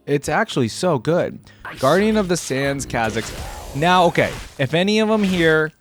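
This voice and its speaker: A man's voice: a little high, very animated, a little slow. A man hosts a casual gaming reaction. His intonation moves up and down freely as he thinks aloud in an informal, exclamatory way. Faint music plays behind his voice. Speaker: video game reaction host.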